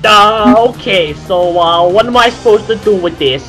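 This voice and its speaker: A man's voice: sits high, moderate pace, a bit bright, faint music behind, poor audio. A man voicing a dopey, hesitant big cartoon creature in an amateur comedy dub, rambling as he goes. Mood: hesitant, puzzled.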